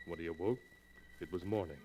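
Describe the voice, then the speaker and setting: An actor playing the solemn, dramatic narrator of a 1940s radio terror tale. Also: male voice, low voice, a little fast, dark tone, faint music behind, lightly acted. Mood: suspenseful, solemn. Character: ominous male storyteller of a terror anthology. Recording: noisy 1940s radio recording.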